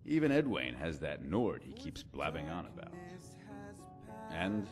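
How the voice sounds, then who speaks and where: A man playing a genial, reflective bard and poet in a fantasy game, with a deep baritone and an easygoing, musing manner. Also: sing-song, unhurried, over music, heavily acted. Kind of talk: scripted fantasy game dialogue.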